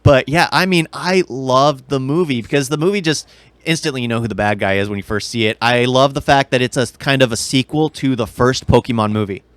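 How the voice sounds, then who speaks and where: Male podcast co-host, smooth clear voice, dry close sound, enthusiastic fan explaining things casually. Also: medium pitch, fairly quick, fairly bright tone, no echo. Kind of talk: casual movie chat.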